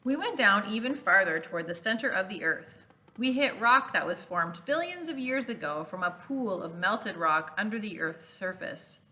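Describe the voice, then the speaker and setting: Bright-voiced woman reading to children. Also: mid-range voice. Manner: expressive, instructive, pausing to explain words. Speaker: teacher reading aloud to children. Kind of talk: animated children's picture book read-aloud with explanations.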